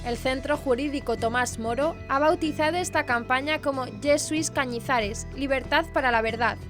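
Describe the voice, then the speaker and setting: A high-voiced woman from presents scripted news. Her reading is formal bulletin narration, with faint music underneath. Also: normal speed.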